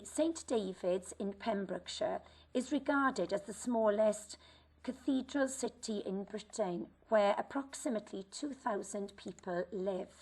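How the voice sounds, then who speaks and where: A woman reading a formal school assembly address in a clear, measured way. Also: medium pitch, a little mellow. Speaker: teacher presenting a school assembly.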